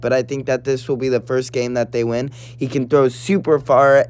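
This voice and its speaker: A man with a raspy, slightly hoarse voice, hosting casual sports prediction commentary in a matter-of-fact way. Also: medium pitch, a little mellow. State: hoarse from partly lost voice. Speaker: sports prediction video host.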